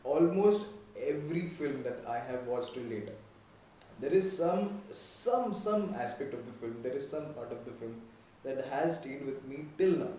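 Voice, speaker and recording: A man's voice: medium pitch, expressive, unhurried, mellow. A young man from Goa with an Indian English accent, talking reflectively and rambling a little in a casual podcast chat. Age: young adult. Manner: reflective, rambling with many restarts. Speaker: podcast guest. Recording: echoey room, distant mic, faint noise.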